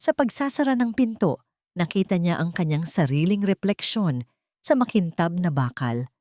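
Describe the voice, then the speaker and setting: Low-pitched woman narrating, very dry sound. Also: lively intonation, moderate pace, warm tone, no echo. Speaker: storyteller. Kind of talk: scripted dramatic narration of a romance story.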